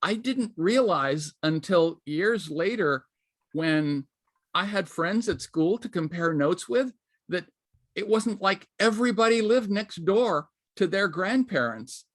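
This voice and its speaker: A man on a panel, a writer, recalling his childhood in a relaxed, measured way. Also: high-pitched, dry recording, clean studio sound. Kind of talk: personal storytelling on a panel.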